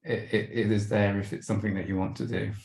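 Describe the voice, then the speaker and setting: Hesitant low man with flat delivery. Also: fast talker, gravelly, roomy sound. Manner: hesitant, many ums and restarts. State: losing his voice, clearing throat. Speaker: webinar presenter. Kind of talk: slideshow travel talk. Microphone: video call.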